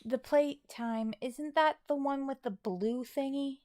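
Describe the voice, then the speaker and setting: A woman's voice: high-pitched, takes it slowly, a little mellow, no echo, studio quality. A woman co-hosting a casual livestream, chatting and answering questions from viewers. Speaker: co-host of a livestream.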